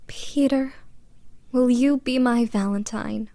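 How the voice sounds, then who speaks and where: An actress playing a sweet, admiring young woman in a comic dub, with a soft, coy and thoughtful delivery. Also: a little high, slow pace, creaky, dry recording.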